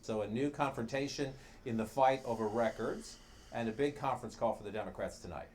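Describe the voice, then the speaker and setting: A man's voice: medium pitch, brisk, darkish tone. A man anchoring a television news programme from a studio. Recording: TV broadcast with faint ambient noise.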